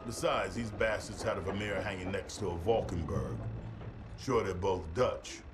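Man playing a deep, blunt army captain. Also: normal speed, over music, lightly acted. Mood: proud, indignant. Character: proud, opinionated male army captain and art expert. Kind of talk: game cutscene acting.

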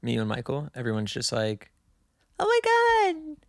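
A man in his twenties co-hosting a casual podcast, teasing in a deadpan way and repeating jokes. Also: mid-range voice, moderate pace, vocal fry, no room sound, studio quality. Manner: deadpan, teasing, repetitive joking. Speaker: podcast co-host. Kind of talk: casual chat. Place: small apartment room, indoors. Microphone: handheld dynamic mic, close.

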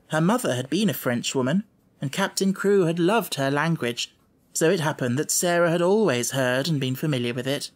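A man reading a children's story as scripted audiobook narration. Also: a little high. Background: music.

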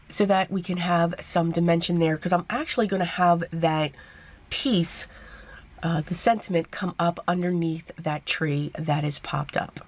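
A woman with a tired, hoarse voice narrating a card-making tutorial step by step, chatty and casual. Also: on the low side. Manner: chatty step-by-step explaining with fillers. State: losing her voice, hoarse. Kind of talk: voice-over craft tutorial.

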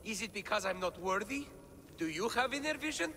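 A man's voice: high voice, lively intonation, fairly quick, bright, forceful tone, exaggerated voice. A man playing an anxious, self-doubting scholar in a video game cutscene, speaking in a fretful, plaintive and emphatic way. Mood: distraught. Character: anxious, self-doubting male scholar translating ancient relics. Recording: long cavernous reverb, clear background noise.

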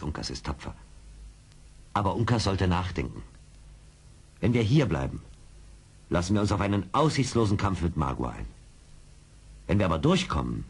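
A seasoned, brave frontiersman in a radio play. His lowish, husky voice moves expressively as he gives deliberate, commanding speech. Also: darkish tone, exaggerated voice. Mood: grave, reasoning. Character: seasoned, decisive, brave frontier scout, male. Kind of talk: 1970s German radio play acting.

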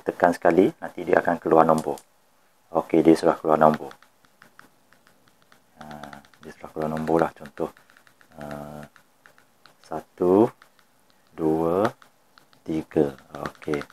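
A man's voice: deep voice, a little mellow. A man with a Malaysian accent giving an informal step-by-step tutorial, repeating instructions and mixing in some English words. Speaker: product demonstrator. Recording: clear background noise.

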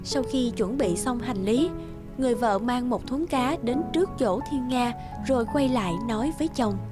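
A woman's voice: fairly high pitch, moderate pace. A woman reading a scripted fairy tale as the narrator of a cartoon. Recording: music under the voice.

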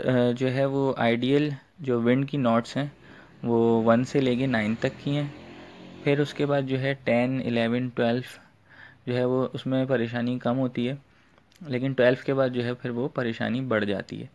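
A man's voice: medium pitch, darkish tone. A man giving an informal screen tutorial, explaining step by step with repeated filler phrases. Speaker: fishing tutorial host.

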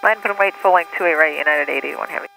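A man working as an airline pilot, reading back clearances over an aircraft radio. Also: a little high, rapid-fire, sharp tone, faint music behind. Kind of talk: radio readbacks. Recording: noisy cockpit radio transmission.

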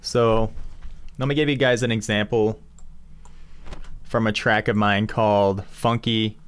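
Casual tutorial host: young male, crisp voice, slow delivery, informal and playful. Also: fairly low pitch, steady tone. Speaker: music production tutorial host.

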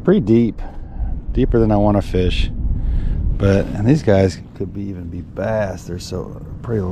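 A man's voice: on the low side, unhurried, noisy background. A man talking casually and folksily to viewers as a fishing guide and host, recorded outdoors on a boat with an action camera. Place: outdoors on a small fishing boat on an open, windy lake. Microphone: action camera worn on the body.

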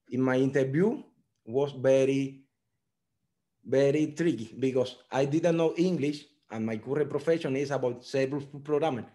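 A young man from Cuba with a Cuban Spanish accent, a software engineer telling his story earnestly in simple non-native English on a video call. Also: medium pitch. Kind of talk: online panel talk.

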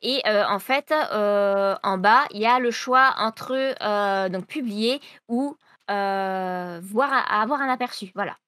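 A young woman explains her writing routine in an interview, hesitant and full of fillers as she searches for words. She talks from a room at home into a desk mic on a video call, at an ordinary middle pitch. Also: slow, a bit bright, no echo, clean studio sound. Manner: hesitant, many fillers and restarts. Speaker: writer being interviewed. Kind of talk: casual explanatory interview answers.

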